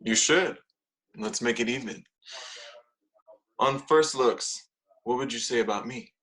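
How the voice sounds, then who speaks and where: A man's voice: medium pitch, a little slow, pushed and bright. A man playing a sardonic, judgmental young man who hides behind dry jokes, in a comedy table read. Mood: dry, judging. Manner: dry, sarcastic.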